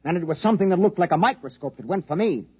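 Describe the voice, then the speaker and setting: A man's voice: sits high, expressive, rapid-fire, breathy edge. A man acting as an intense scientist in a 1950s radio drama, explaining urgently and insistently. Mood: insistent, grave. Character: intense, urgent male scientist reasoning out a threat. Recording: dull 1950s radio recording.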